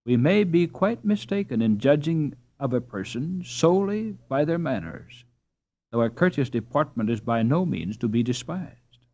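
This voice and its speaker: A man voicing a placid, dignified, kindly mother in a storybook, measured and courteous as she gently admonishes. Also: medium pitch, big pitch swings. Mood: gently correcting.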